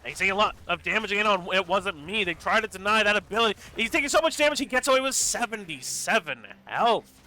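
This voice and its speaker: Male esports caster, live play-by-play, very bright tense voice, slightly high, congested stuffy nose, energetic. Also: quick pace. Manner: energetic, rises to excited bursts during fights.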